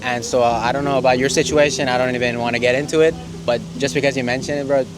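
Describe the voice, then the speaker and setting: A man's voice: mid-range voice, fairly even intonation, fast. A man doing casual street interviews in an informal, slangy, encouraging way. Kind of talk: casual vox-pop interview chat. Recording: music laid under the voice.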